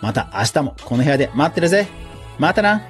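A man's voice: fairly high pitch, very animated, fast, faint music behind. A man hosting a podcast news round-up in a casual, chatty way, with informal asides and little interjections of agreement. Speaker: podcast host.

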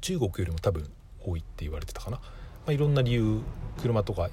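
A man's voice: on the low side, very animated, fairly quick, warm tone, slight background noise. A man hosting a podcast solo, talking casually and thinking aloud with frequent self-corrections. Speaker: podcast host.

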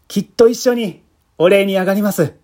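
A man's voice: sits high, normal speed, mellow, pitched above the performer's own voice, natural voice. A man voicing a cheerful, polite policeman, a proud family man talking in a chatty, deferential, folksy way in a story reading. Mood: polite, grateful. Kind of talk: literary reading with acted dialogue. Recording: music bed behind the voice.

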